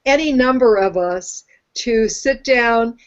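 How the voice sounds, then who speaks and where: A woman's voice: a little high, slow. A woman who is a regular on the show, making wry, joking asides in casual chat. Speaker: regular co-host. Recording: dull lo-fi sound.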